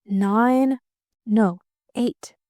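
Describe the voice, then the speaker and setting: A woman's voice: on the high side, drawn-out words, dark tone, no room sound, studio quality. A woman voicing an earnest, decided young woman in an audiobook, speaking plainly. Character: earnest young woman, firm but admiring.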